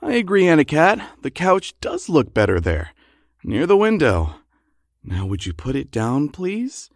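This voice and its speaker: Male actor as long-suffering worried husband, wry, lively intonation, dry recording, audio drama. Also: on the high side, measured pace, creaky, no room sound, full character voice. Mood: exasperated. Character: exasperated, worrying husband of a secret superheroine. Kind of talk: audio comedy drama acting.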